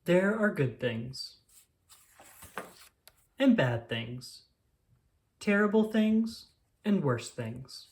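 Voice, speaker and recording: Expressive storybook reader with swooping pitch. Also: drawn-out words, vocal fry, long pauses, a little hiss or hum. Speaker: children's book reader. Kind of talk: storybook read-aloud, first-person child narrator.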